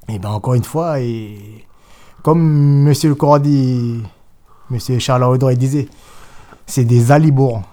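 A man's voice: mid-range voice, dark tone. A man, a jurist speaking as an interview guest in an emphatic, insistent way, repeating points and mimicking opponents. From New Caledonia. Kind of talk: assertive political interview talk.

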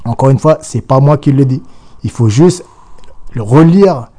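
Interview guest: New man, warm dark voice, forceful and repetitive. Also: mid-range voice, unhurried. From New Caledonia. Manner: insistent, repetitive, restarts often. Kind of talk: political interview.

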